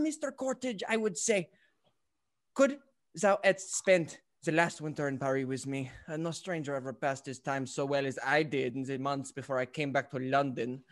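A man playing an affected, excitable, French-mad fop in a stage comedy, effusive and giggly. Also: a little high. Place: indoors at home on video call.

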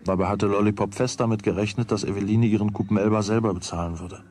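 A man's voice: fairly low pitch, fairly even intonation, fast, a little mellow, faint music behind, lightly acted. A man narrating a children's radio play in a scripted storytelling style.

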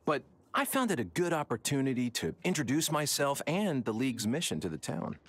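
A man's voice: medium pitch, very animated, a little fast, slightly rough, no room sound. An actor playing an upbeat, earnest young man with a polished, sociable manner in a video game. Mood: pleased. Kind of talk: video game character dialogue.

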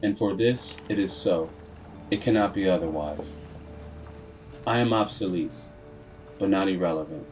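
A low-pitched male narrator delivers scripted storytelling in the role of a proud, grave machine. He speaks solemnly and deliberately, leaving dramatic pauses. Music plays clearly behind the voice-over. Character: computer processor telling its own story as a grave, proud character. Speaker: video narrator.

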